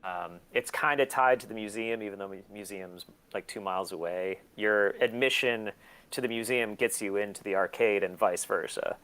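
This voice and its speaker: A man chatting casually as an interview guest, blunt, self-deprecating and quick to swear. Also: lowish voice, fairly bright tone, poor audio. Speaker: interview guest, museum and record shop owner. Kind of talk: casual chat.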